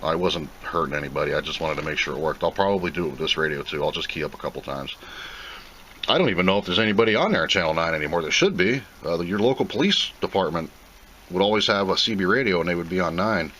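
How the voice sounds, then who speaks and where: A man's voice: deep voice, expressive, fast, fairly bright tone, low-quality recording. A man giving a casual, plain-spoken unboxing commentary, rambling and thinking aloud as he goes. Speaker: video host reviewing a product. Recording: clear background noise.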